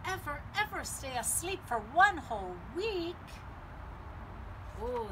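A middle-aged woman hosting a children's storytime, reading a picture book aloud in a lively, sing-song way with deliberate phrasing. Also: high-pitched, background noise. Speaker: library storytime host. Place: outdoors on a home patio.

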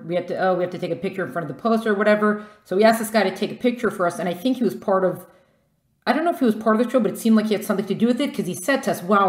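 A woman's voice: medium pitch, echoey room. A woman hosting a podcast interview, chatty and enthusiastic, with lots of affirmations. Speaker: podcast host. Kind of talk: casual interview chat.

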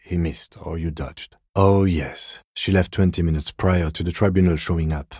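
An actor playing a composed, weary police detective in a video game, with dry, deadpan, measured delivery. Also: male voice, low-pitched, breathy edge, no echo. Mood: grave, composed.

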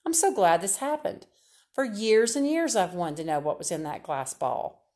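A woman's voice: medium pitch, very animated, moderate pace, darkish tone, lightly acted. A woman reader voicing a kindly, unflappable older woman who gives cheerful, matter-of-fact advice about children.

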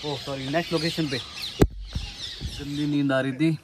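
A man's voice: on the high side, steady tone, unhurried, noisy background. A young man, a travel vlogger, chatting casually to his phone camera held at arm's length, outdoors by a river and later in a car and a restaurant. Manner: chatty, talks straight to the camera while traveling. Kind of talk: casual travel vlog narration.

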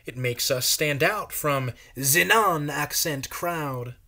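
A man's voice: fairly high pitch, slow pace, creaky, pushed and bright, heavily acted. A man voicing cheery, boastful little peas with a French accent, in a theatrical storybook read-aloud. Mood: proud, cheery.

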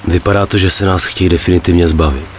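An actor playing a calm, loyal gangster of few words in a video game cutscene, terse and restrained. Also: male voice, sits low, slight background noise, close to an everyday voice. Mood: reassuring. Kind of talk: dramatic game cutscene dubbing.

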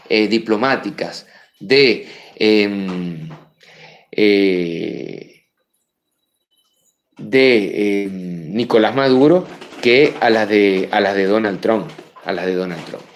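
Venezuelan male host in his thirties, mid-pitched, punchy live commentary that leaps up on key names and falls to soft asides, webcam at a desk. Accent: Venezuelan Spanish. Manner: emphatic, rises sharply on key names, drops to quiet asides. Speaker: political show host. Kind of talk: live political commentary reading viewer messages. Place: room with full bookshelves.

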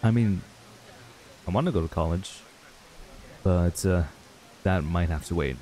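A man's voice: low-pitched, unhurried, dark tone, poor audio. A man playing a laid-back, wistful young man in an ASMR roleplay, talking casually and hesitantly to a childhood friend. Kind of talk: ASMR roleplay acting, intimate and conversational.

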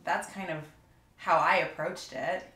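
A woman who acts, talking in a measured, reflective way with pauses during a roundtable chat. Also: lowish voice, steady tone, bright, forceful tone. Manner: measured, thoughtful, pausing. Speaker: voice actress on a podcast panel. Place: room, group around a table. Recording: roomy, noisy raw recording.

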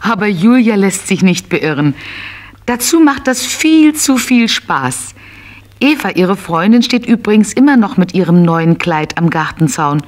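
A woman's voice: medium pitch, lively intonation, measured pace, darkish tone. A woman narrating a scripted children's story, telling it as if to small children.

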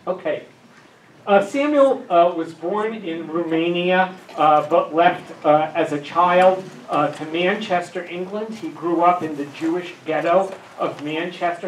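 Older guy giving a lecture, lots of uhs, kinda high voice, sounds far off in a big echoey room. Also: a little hiss or hum. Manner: many filler uhs, dry asides. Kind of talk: academic lecture. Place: dim lecture room with projector. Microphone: handheld mic.

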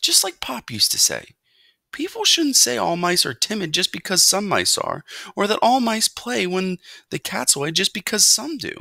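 A woman's voice: medium pitch, big pitch swings, moderate pace, gravelly, bright, forceful tone, no echo, studio quality, pitched above the performer's own voice, exaggerated voice. A woman voicing a plucky, boastful young boy mouse in a children's storybook, eager and defiant.

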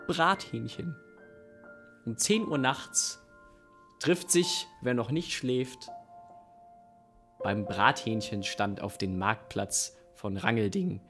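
A man's voice: lowish voice, vocal fry, fairly bright tone. A man, a young adult, narrating an improvised radio play on stage into a microphone. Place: dim theatre stage. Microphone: stand microphone close in front. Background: live guitar music.

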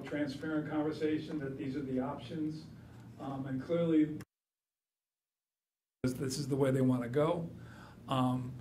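A man presenting to a city council from a podium microphone, measured and full of ums, with small self-deprecating asides. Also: a little high, a little mellow, slight background noise. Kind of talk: formal public meeting remarks. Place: council chamber, standing at podium.

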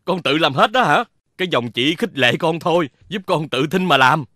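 A man voicing a kindly, reassuring dog father in a children's cartoon, speaking gently. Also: on the high side, expressive, moderate pace, a little hiss or hum. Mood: pleased, warm. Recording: music behind the voice.

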